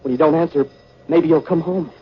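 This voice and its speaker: An actor playing a fearful young man, halting and urgent, in 1950s radio drama style. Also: a little high, steady tone, slightly rough. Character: frightened young male companion, desperate and fearful. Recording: muffled, noisy 1950s radio recording.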